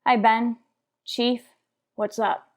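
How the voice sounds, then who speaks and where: A woman's voice: on the high side, steady tone, slow, a little hiss or hum. A woman playing a tough, businesslike police detective sergeant who narrates a radio crime drama in a clipped, matter-of-fact way. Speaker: narrator and lead actor.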